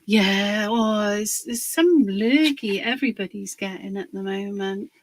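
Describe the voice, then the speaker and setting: A woman, slightly hoarse from a cold, chatting casually on a livestream while she works on a craft project, pausing with fillers. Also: medium pitch. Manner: chatty, rambling, pauses with fillers while working.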